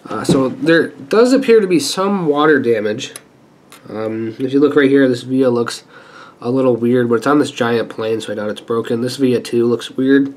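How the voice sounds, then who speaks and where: A man's voice: mid-range voice, normal speed. Stuffy-sounding man chatting at his workbench. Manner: relaxed and chatty, thinking aloud while working. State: stuffy from a sinus infection. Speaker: repair channel host. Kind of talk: casual hands-on repair walkthrough. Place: indoor workbench.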